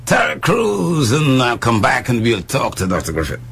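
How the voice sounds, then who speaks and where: Animated man hosting radio. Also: medium pitch, moderate pace, creaky, slightly rough. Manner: animated, playful, teaching tone. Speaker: radio show host and doctor. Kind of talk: casual radio talk show chat.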